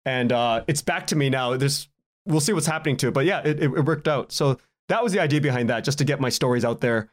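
A man speaking casually as a podcast guest, in a halting, rambling way, often repeating words and cutting himself off. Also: mid-range voice. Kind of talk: casual interview conversation.

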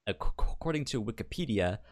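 A man's voice: on the low side, expressive, brisk, darkish tone. A man co-hosting a podcast in casual review chat, stuttering as he repeats sounds and words. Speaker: podcast co-host.

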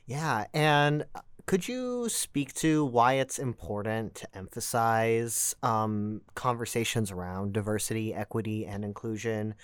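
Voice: medium pitch, measured pace, smooth, dry recording. A podcast host asking short, polite interview questions and reading a scripted show outro. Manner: polite, brief interviewer prompts.

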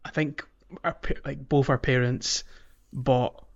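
A man's voice: medium pitch, flat delivery, slow pace. A man co-hosting a podcast in casual, conversational chat. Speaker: podcast co-host. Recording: dull, lo-fi voice audio.

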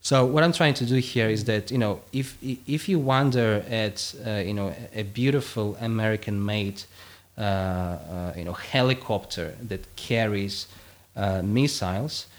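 A man's voice: fairly low pitch, a little slow. A man whose first language is Russian, a guest philosopher explaining his ideas with many fillers and restarts, and reading his own passage aloud.

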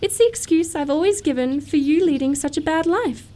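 A woman's voice: high voice, brisk, dark tone, lightly acted. A woman playing a romantic, self-assured young upper-class lady in a period comedy radio play, precise and sweetly matter-of-fact.

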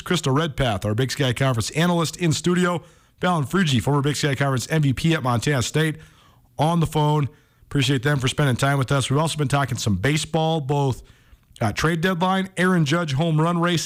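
Conversational American male sports radio host. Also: fairly high pitch. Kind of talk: casual sports talk radio commentary.

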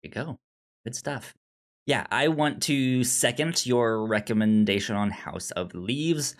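A man chatting casually as a podcast co-host, halting as he thinks aloud. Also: mid-range voice. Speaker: podcast co-host giving recommendations. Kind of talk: casual film discussion chat.

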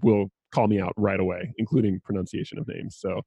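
Male podcast host, low-pitched and gravelly, casual conversational delivery, close dry sound. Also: steady tone, a little fast, warm tone, dry recording, lo-fi sound. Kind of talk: casual chat.

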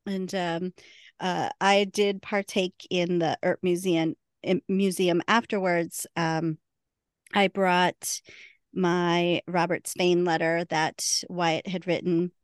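A woman's voice: on the low side, little pitch movement, takes it slowly, clean tone, dry recording. Woman, podcast co-host, casual chat, laughs often, dry recording.

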